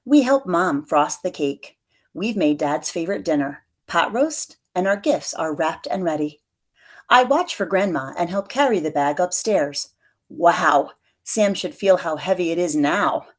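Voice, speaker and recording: A woman with a slightly low voice reads a picture book aloud. She tells the story expressively, as a teacher would to young pupils, lightly acting out the dialogue. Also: lively intonation, unhurried.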